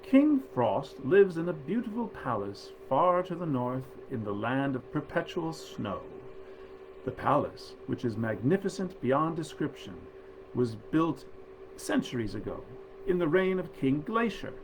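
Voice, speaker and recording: A man reading nineteenth-century fairy tales aloud in a measured, expressive storyteller's manner. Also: fairly high pitch, slight background noise, low-quality recording. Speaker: actor reading stories aloud.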